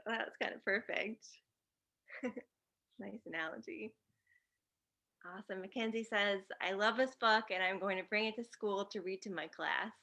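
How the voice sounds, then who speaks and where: Woman, stutters, moderator, cheerful, laughs often, mid-pitched, video call. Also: normal speed, pauses often, pushed and bright. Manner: stutters with repeated sounds, upbeat and laughing often. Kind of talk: casual video-call chat.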